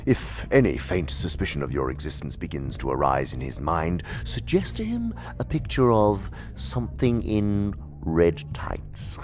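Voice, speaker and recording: A man playing an older, pompous senior demon in an audio drama, lecturing his inferior with condescension and savouring his words in a gravelly voice. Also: low voice, big pitch swings, a little slow, few pauses, darkish tone, faint music behind. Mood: instructing, sardonic. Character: senior demon uncle, cunning, condescending, theatrical schemer. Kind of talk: theatrical audio drama dialogue.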